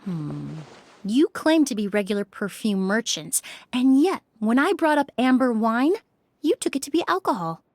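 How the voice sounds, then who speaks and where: A woman voicing a composed, shrewd young perfumer in a video game, speaking in a measured, formal, courteous manner. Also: on the high side, expressive, darkish tone, no echo, clean studio sound. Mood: probing, confident. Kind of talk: scripted video game dialogue.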